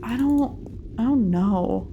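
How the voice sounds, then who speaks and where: A woman's voice: fairly high pitch, lively intonation, slow pace, dark tone, slight background noise. A woman giving chatty, casual gameplay commentary, muttering reactions to herself as she plays. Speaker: let's play host.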